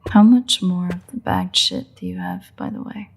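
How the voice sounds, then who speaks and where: A woman's voice: fairly low pitch, huge pitch swings, moderate pace, slight background noise. A woman voicing a playful, cocky vampire in an intimate audio roleplay, drawling and teasing with wry sighs. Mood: blunt, tired.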